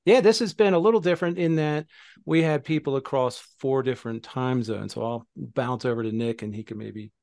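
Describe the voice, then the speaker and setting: A man's voice: medium pitch, a little fast, gravelly, a little mellow, no room sound. Upbeat older man, dry close sound. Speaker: software consultant guest. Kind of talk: casual panel chat.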